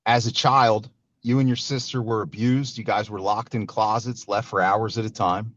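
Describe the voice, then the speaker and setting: A man's voice: lowish voice, fairly even intonation, normal speed, a bit bright, no room sound, highs cut off. A man hosting a podcast over a remote call, earnestly reading out his guest's story and calling him brother. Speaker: podcast host. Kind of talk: casual interview intro. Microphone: remote video call.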